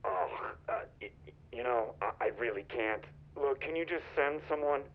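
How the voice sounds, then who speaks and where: A man playing an awkward, exasperated mine worker in a video game, halting and reluctant over a telephone. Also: mid-range voice, animated, gravelly. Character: awkward, exasperated male mining company worker. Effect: telephone filter. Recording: tinny, distant phone line.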